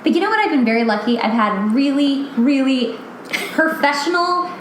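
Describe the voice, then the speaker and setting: A woman's voice: high-pitched, lively intonation, normal speed, echoey room, a little hiss or hum, poor audio. A woman hosting a podcast, chatting casually with frequent laughs and fillers. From Los Angeles. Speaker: podcast host and author. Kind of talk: casual chat.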